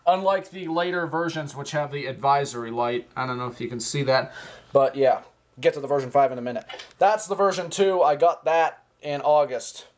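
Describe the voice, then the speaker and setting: A man giving an informal, excitable show-and-tell commentary, often interjecting as he goes. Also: a little high, normal speed. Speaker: video host. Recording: clearly noisy home recording.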